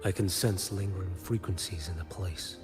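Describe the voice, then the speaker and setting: An actor playing a blind, watchful bodyguard in a video game, speaking in a measured, cryptic and formal way. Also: male voice, deep voice, fairly even intonation, natural voice. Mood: cool, matter-of-fact. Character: blind, solemn watchful male bodyguard and investigator. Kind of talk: scripted video game dialogue. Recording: long reverb, music underneath. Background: game music.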